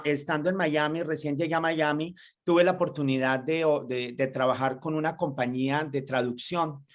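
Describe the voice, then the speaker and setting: A host chatting enthusiastically in a casual interview over a video call. The host rambles through long thoughts full of fillers and restarts. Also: mid-range voice.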